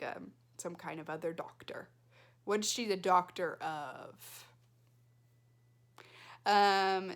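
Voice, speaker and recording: A woman's voice: lowish voice, fairly bright tone. A woman reading a storybook aloud expressively, with dramatic emphasis and casual asides. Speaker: read-aloud reader.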